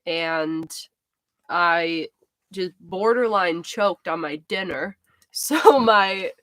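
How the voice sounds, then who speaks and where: A young woman co-hosting a casual live stream, chatty and laughing easily, her voice raspy and congested. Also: fairly low pitch, extremely slow, fairly bright tone, no echo.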